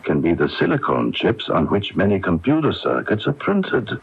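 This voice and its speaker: A muffled 1980s AM radio drama recording. a methodical male doctor with a low, husky, gravelly voice. He explains things carefully and haltingly, thinking as he goes. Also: wildly swooping pitch, full character voice. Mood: thoughtful. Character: thoughtful, methodical male doctor piecing clues together.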